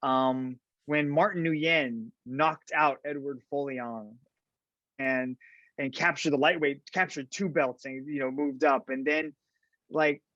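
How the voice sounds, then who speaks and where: Animated man telling fight stories. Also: mid-range voice, takes it slowly, dry recording. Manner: animated storyteller, fillers like "right?". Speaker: podcast guest, fight commentator. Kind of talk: casual interview chat. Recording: dull lo-fi sound.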